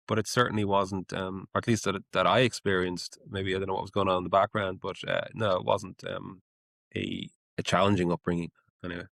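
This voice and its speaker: A man's voice: on the low side, vocal fry, fairly bright tone, no room sound, clean studio sound. A man in his forties from Ireland with an Irish accent, a guest answering interview questions in a hesitant, searching way. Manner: hesitant, with many fillers and restarts. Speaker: guest, menswear brand founder. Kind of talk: casual interview.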